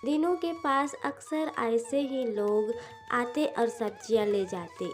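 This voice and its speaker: Female storyteller for children's cartoon narration: fairly high voice, very measured pace, faint music bed.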